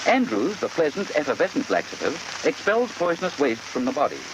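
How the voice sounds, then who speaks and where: Casting: man for old-time radio commercial, animated mid-range announcer read, clearly noisy recording. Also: fairly high pitch, brisk.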